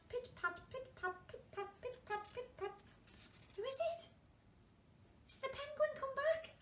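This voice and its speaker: A woman reads a children's story aloud in a high, very animated voice at a slow pace. She adds questioning asides for young listeners, and the recording is roomy. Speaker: primary school storyteller. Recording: roomy, distant mic, faint noise.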